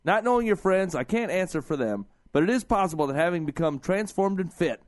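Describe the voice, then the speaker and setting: Loud, lively man hosting a radio show. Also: high-pitched, fairly quick, no room sound, clean studio sound. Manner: loud, playful, jokes and laughs. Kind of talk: casual radio banter, reading listener advice.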